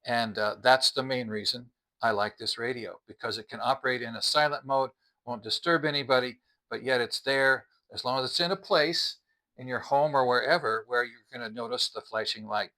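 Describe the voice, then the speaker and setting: A man's voice: mid-range voice, moderate pace, pushed and bright, poor audio. A man hosting a casual product review, explaining things conversationally and addressing viewers directly as he goes. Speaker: host of a product review channel.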